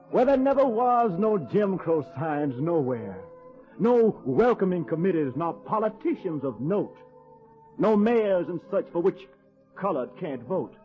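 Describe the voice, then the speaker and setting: Earnest American everyman, high, sweeping voice. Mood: rising jubilant hope. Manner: theatrical, emphatic, rhythmic delivery with folksy dialect. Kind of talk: dramatic poetry recitation in old-time radio drama. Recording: dull old radio recording, music behind.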